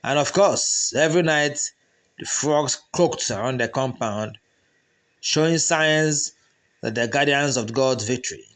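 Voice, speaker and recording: A man's voice: medium pitch, unhurried, a bit bright. A man with a Nigerian accent narrating a folktale in a dramatic storytelling style.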